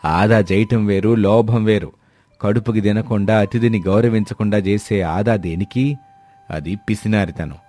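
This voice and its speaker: A man's voice: fairly low pitch, steady tone, a little slow, dark tone. A man playing an older village landlord, blunt and indignant, scolding emphatically in a dramatised moral story.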